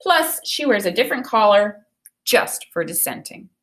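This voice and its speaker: A woman teacher reading a picture book aloud and pausing to explain it, often checking for understanding. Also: medium pitch, big pitch swings, a bit bright.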